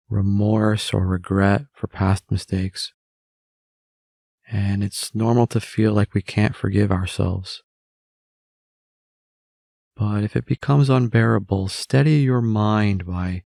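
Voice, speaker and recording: A man narrating a guided meditation in a measured way, with long pauses between phrases. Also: lowish voice, fairly even intonation, creaky, darkish tone, no room sound, clean studio sound.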